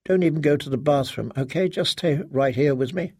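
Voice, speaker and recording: A frightened young woman in an audiobook drama, acted out by a man. The speech stumbles and pleads in a childlike way. The voice is husky with some fry, recorded very dry and close. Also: on the high side, darkish tone, no echo. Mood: fragile, tearful. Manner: halting, stammering, childlike pleading. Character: frightened, slurring grown daughter, pleading and fragile. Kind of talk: dramatic audiobook character reading.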